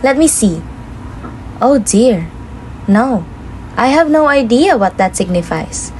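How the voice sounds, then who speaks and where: A bossy, fussing old lady put on by a woman for a puppet show. The voice is fairly high and very animated, with background noise. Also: takes it slowly, rough recording. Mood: bossy, puzzled.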